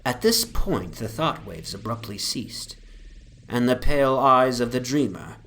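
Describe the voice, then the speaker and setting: Low-voiced man narrating gothic horror. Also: fairly bright tone, a little hiss or hum. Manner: grave, measured, formal storytelling.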